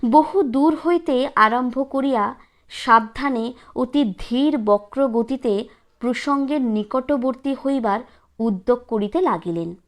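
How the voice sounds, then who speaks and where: A woman reading a literary story as narrator, with measured, deliberate and dramatic phrasing. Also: a little high.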